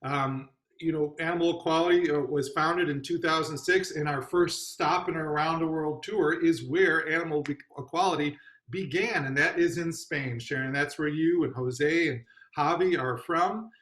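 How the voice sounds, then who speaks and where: An upbeat man hosts a fundraising stream in a chatty, loose way, pitched a little high for a man. His voice bounces around a roomy, echoey space. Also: moderate pace, fairly bright tone. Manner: upbeat, chatty, with fillers and restarts. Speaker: host. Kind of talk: livestream fundraising host.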